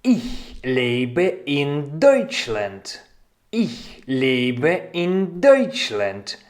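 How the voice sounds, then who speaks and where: A man giving a scripted language lesson as a teacher, deliberately repeating short example phrases in an instructional way. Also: on the high side, very animated.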